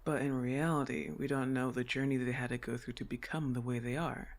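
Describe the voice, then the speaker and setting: A woman's voice: extremely low pitch, fairly quick. Woman playing an awkward, chatty, well-meaning demon. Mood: reassuring, earnest. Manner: flustered, hesitant, conversational, reassuring. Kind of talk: ASMR roleplay monologue.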